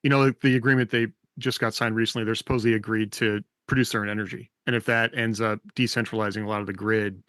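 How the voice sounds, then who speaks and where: A man's voice: fairly low pitch, little pitch movement, fast, few pauses, a bit bright, dry recording, clean studio sound. A man co-hosting a podcast in a casual panel chat. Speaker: podcast co-host.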